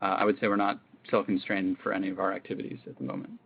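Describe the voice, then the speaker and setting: A man speaking as an executive on a conference call, giving measured, hesitant, unscripted answers. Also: deep voice, completely flat delivery, vocal fry. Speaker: company executive. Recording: muffled noisy conference call line.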